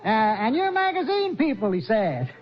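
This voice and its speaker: A high-pitched, folksy small-town sheriff. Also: male voice, very animated, normal speed. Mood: jovial, dismissive. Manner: folksy, hemming and chuckling. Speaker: radio drama actor. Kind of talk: old-time radio acting. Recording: muffled old radio recording, faint music.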